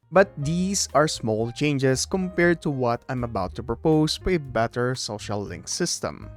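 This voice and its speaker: A man hosts a scripted video essay with a lively, swinging intonation. His delivery is emphatic, dotted with jokey asides. Light music plays behind his mid-pitched voice.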